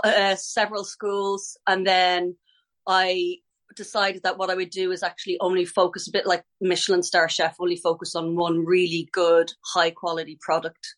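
Irish woman on a podcast, lowish voice, rambles and restarts, laughs a lot. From Ireland. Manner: chatty, self-interrupting, laughs easily. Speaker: ballet teacher and former ballerina. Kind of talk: casual podcast interview.

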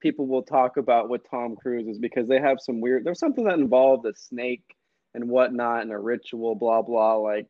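A relaxed man talking casually in loose, slangy phrasing. His throat sounds a bit phlegmy, and the audio is dull and dry with no room sound. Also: mid-range voice, moderate pace, dry recording. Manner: laid-back, loose slangy talk. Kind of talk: casual chat.

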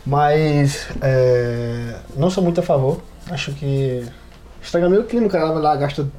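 A man's voice: fairly high pitch, moderate pace, warm tone. A man with a Brazilian accent chatting casually as a podcast panelist, slangy and hesitant, in short phrases. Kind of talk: casual chat.

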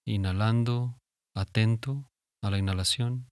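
Low-pitched man guiding meditation. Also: no echo. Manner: calm, soothing, instructing with long pauses. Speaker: meditation guide, host. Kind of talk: guided relaxation meditation. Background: music.

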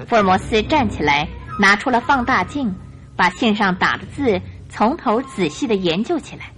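A woman narrator telling a scripted detective story for a radio drama in an expressive storytelling style. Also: medium pitch, moderate pace, soft background music.

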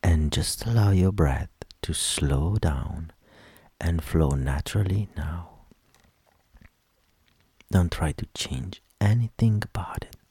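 A man leading a guided meditation in a soft, soothing voice with gentle instructions that trail into whispers. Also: low voice, creaky, breathy edge, no room sound. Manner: slow, gentle instructions with long pauses.

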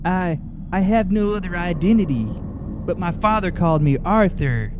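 A man's voice: sits high, moderate pace, lo-fi sound, close to an everyday voice. A man playing a reserved, earnest superhero in a radio play. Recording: clear background noise.

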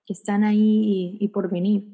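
A woman's voice: mid-range voice, level tone, normal speed, warm tone, husky. A young woman singer from the Tijuana–San Diego border, answering interview questions in a thoughtful, rambling way with bits of English. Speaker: singer, guest.

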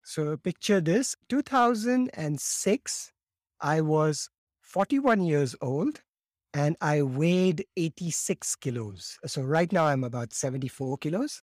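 A man in his fifties, raised in India, telling his story as a podcast guest in a lively way, often acting out quotes and repeating words as he starts. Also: a little high, slow, no echo, studio quality. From born in Morocco, raised in India. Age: 50s. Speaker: guest, laughter yoga teacher.

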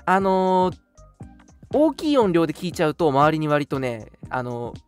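A man's voice: a little high, sing-song, normal speed. A young man co-hosting a casual radio talk show, explaining things in a conversational way. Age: young adult. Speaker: radio show host from an audio drama studio. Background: background music.

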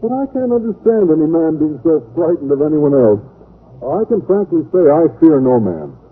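A man's voice: on the high side, sing-song, moderate pace, husky. An actor playing an earnest, naive and literal-minded male science teacher in an old radio comedy. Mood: earnest, puzzled. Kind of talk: 1940s-50s radio comedy acting. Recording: noisy old radio recording, narrow band.

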